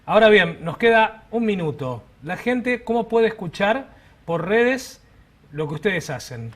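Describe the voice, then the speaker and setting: A man's voice: sits high, very animated, unhurried, a bit bright. A middle-aged man with an Argentine accent co-hosting a radio show in a casual style. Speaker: radio co-host.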